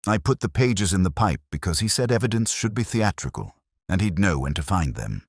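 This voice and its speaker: A man's voice: low voice, expressive, a little fast, husky, dry recording, clean studio sound, voice pushed up. A man voicing a flustered, self-justifying small man in an audiobook mystery. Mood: flustered, defensive. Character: blurting, aggrieved small man, a reluctant accomplice.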